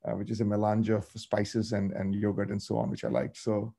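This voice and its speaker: A man, a podcast guest giving thoughtful, measured answers in an interview. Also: on the low side, flat delivery, vocal fry, warm tone, husky. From India.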